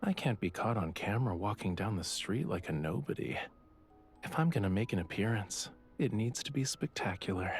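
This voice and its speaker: An actor playing a self-assured yet quietly vulnerable young artist in a romance game, speaking softly and intimately with a teasing touch. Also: male voice, fairly low pitch, animated, moderate pace, soft background music, lightly acted. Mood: playful, boastful.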